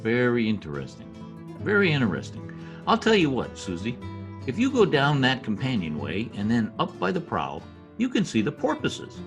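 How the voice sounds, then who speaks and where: Casting: pompous Latin diplomat, male actor, theatrical radio drama, hugely animated pitch, music behind. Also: moderate pace. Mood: coaxing. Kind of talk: old-time radio drama acting.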